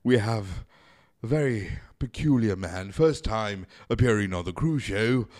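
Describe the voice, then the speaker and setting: A man hosting a comedy show in a theatrical, mock-formal way, speaking into a boom-arm desk mic in a small home studio. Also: expressive, a little slow, darkish tone. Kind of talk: hammy scripted comedy hosting.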